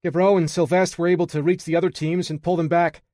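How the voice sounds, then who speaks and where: A man's voice: a little high, flat delivery, fast talker, warm tone, dry recording, pitched above the performer's own voice, close to an everyday voice. A man voicing an eager, chatty young male tech support guy in an audiobook, recorded dry.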